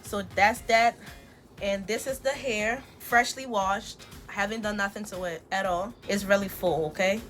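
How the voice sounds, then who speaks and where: A woman from Liberia, chatting casually through a wig unboxing tutorial and calling her viewers sis and y'all. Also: medium pitch. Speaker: beauty vlogger.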